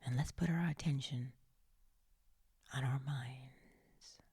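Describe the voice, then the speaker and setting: A woman leading a guided meditation in a hushed, soothing, breathy and often whispered voice, coaxing gently with long pauses. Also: very deep voice, fairly even intonation, no echo, studio quality. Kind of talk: slow guided meditation narration, partly whispered.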